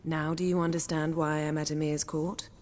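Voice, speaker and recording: Low voiced sorceress lady actress doing it, posh wording, teasing n sure of herself, game dialogue, big hall echo. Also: little pitch movement, normal speed, darkish tone, slight background noise, natural voice. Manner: articulate, formal, arch. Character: poised, teasing, self-assured sorceress, adult woman. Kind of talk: dramatic video game voice acting. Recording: long hall reverb.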